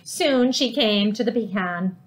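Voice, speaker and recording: A middle-aged woman reading a children's storybook aloud in an animated way, with dramatic, drawn-out emphasis. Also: mid-range voice. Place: living room at home, indoors.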